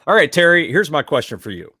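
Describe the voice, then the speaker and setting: A man with a Southern US accent co-hosting a podcast, talking in an animated, teasing way, swearing freely and laughing loudly. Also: on the high side, quick pace, husky, no room sound, studio quality. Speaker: podcast co-host. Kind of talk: casual chat.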